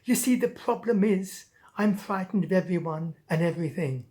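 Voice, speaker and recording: A woman's voice: lowish voice, animated, moderate pace, warm tone, lightly acted. A woman voicing a timid, tearful little male cat in a children's story, whiny and sniffly. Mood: timid, glum.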